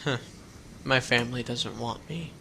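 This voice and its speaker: Teen runaway boy, guarded but earnest, bright voice, faint hiss behind. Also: medium pitch, steady tone, normal speed, creaky. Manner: plain-spoken, rambling storyteller with folksy words. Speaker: hitchhiking runaway. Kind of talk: radio drama acting.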